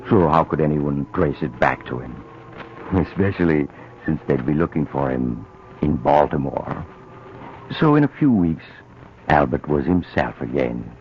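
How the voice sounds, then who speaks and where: A man narrating a radio drama in a measured, grim storytelling manner. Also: very deep voice, sing-song, slight background noise. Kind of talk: scripted narration. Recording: dull old radio recording.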